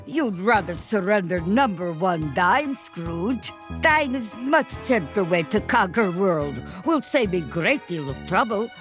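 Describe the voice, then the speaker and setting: A woman's voice: medium pitch, animated, unhurried, few pauses, close to an everyday voice. A woman voicing a scheming villainous sorceress duck, gloating in broken grammar. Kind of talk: video game cutscene acting. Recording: music behind the voice.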